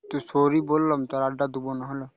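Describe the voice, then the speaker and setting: A man's voice: medium pitch, level tone, quick pace, no room sound. A young man talking casually on a private phone call, often checking with short questioning tags. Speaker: caller talking to a romantic partner. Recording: dull, lo-fi phone call recording.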